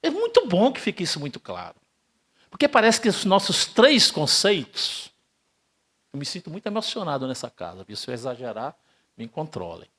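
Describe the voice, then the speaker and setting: An older man from Brazil giving an emphatic spiritist lecture, asking rhetorical questions and swinging between loud and hushed delivery. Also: a little high, huge pitch swings, moderate pace, slightly rough. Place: spiritist center hall, live audience.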